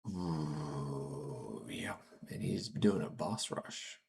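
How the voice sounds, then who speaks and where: Male streamer, gravelly low voice, slow, casual sign-off chatter, faint hum behind. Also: animated, darkish tone.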